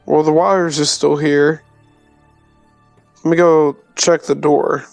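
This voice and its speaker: A man's voice: a little high, level tone, slow, creaky, darkish tone, no echo. A brash, sweary man playing a tabletop roleplaying game, casually saying what his character does. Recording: music behind the voice.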